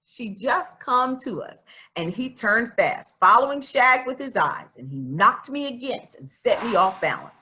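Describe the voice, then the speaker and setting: A woman plays an earnest, stubborn farm girl of about twelve telling her own storybook tale. The voice is bright and very animated in pitch. The telling is plain-spoken, folksy and confiding. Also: measured pace. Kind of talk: children's storybook narration.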